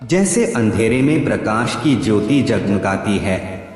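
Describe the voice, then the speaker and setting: A man delivers scripted spiritual quotes at a slow pace, solemn and preaching in manner. His pitch moves expressively as he narrates. The voice is drenched in echo, with music behind it. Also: animated, a little mellow. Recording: very echoey reverberant voice track.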